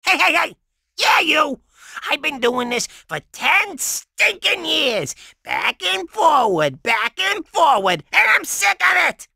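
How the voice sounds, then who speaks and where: A man's voice: extremely high pitch, very animated, takes it slowly, gravelly, bright, forceful tone, no echo, studio quality, heavily acted. A man voicing a fed-up, irritable animal in a video game, ranting in exasperation. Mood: exasperated.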